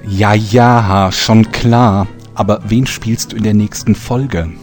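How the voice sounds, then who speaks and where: A man's voice: fairly low pitch, fairly even intonation, takes it slowly, a little mellow, soft background music. A man playing a pompous announcer of a parody soap serial audio drama. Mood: impatient. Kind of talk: parody cliffhanger narration.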